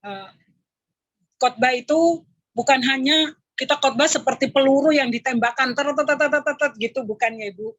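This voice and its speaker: A woman's voice: sits high, moderate pace, a bit bright, no room sound. An Indonesian woman with an East Javanese accent teaches public speaking to a group of women over a video call, going through numbered tips and addressing them as ladies; her throat is tired and strained. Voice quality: husky. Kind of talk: teaching an online class for women.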